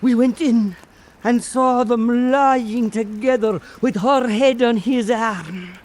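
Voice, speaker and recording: A man playing an old Irish island storyteller, telling an eerie fireside tale in a deliberate, theatrical way for radio drama. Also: very high voice, a little mellow. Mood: eerie, measured.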